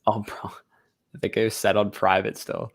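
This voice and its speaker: A man's voice: fairly low pitch, flat delivery, normal speed, vocal fry, slightly rough, no room sound. A young adult man co-hosting a casual fantasy football livestream, laid-back and joking. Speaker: co-host.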